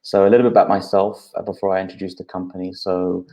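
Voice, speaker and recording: A man, a guest calmly explaining things in an interview, with frequent uh fillers. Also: sits low, fast talker. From United Kingdom. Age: 30s.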